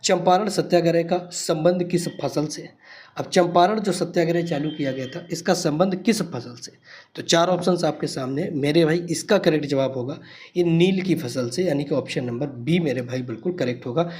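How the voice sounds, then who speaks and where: Higher-pitched male coaching teacher. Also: moderate pace, a little mellow. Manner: emphatic, repeats key points, addresses students as brother. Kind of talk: quiz lesson explanation for exam students.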